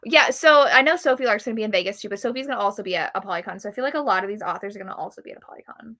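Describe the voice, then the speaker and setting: Bright woman with a gravelly edge, gushing. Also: medium pitch, racing. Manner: enthusiastic fan chatter, laughs easily. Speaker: co-host of a book readalong live show. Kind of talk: casual chat between friends.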